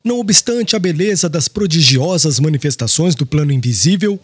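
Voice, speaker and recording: A man reading scripted literary audiobook narration in a formal, expressive manner. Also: on the high side, brisk, husky, no room sound.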